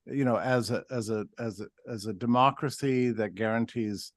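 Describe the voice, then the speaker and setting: A man's voice: mid-range voice, moderate pace, no echo, studio quality. A man from Texas, a guest commentator, telling stories in a casual interview with many restarts and asides, over a video call.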